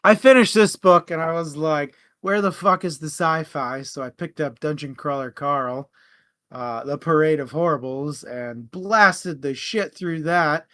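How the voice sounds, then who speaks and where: A man with a fairly high, very bright and forward voice co-hosts a book show. He talks in a casual, informal style, jokey and loose with his language. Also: measured pace. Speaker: co-host of a book discussion show. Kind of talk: casual book chat with plugs.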